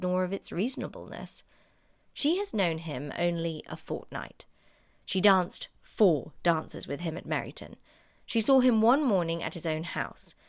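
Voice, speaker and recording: A woman's voice: lowish voice, no room sound, studio quality. A woman voicing a spirited, principled young woman in an audiobook novel, speaking firmly and wryly. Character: Elizabeth, spirited, principled young woman defending her sister. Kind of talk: audiobook character dialogue.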